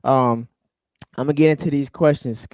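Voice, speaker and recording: A man's voice: mid-range voice, steady tone, normal speed, a little mellow, dry recording, clean studio sound. A man hosting a casual interview, speaking in a friendly, loose way with lots of fillers. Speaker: interview host.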